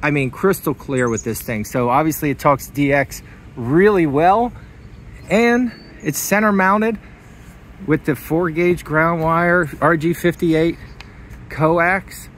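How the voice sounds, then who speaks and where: A man's voice: a little high, slow, fairly bright tone. Enthusiastic man vlogging with background noise. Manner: enthusiastic, plainspoken. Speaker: hobbyist vlogger. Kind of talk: casual hands-on demonstration. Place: inside a parked vehicle and outdoors in a driveway. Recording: clear background noise from car and outdoors.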